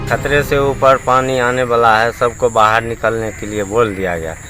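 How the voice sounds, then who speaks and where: A man's voice: mid-range voice, fairly even intonation, normal speed, music in the background. A man, a local villager, speaking unscripted in a news soundbite. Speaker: local flood-affected villager. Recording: noisy field recording, vehicle sounds.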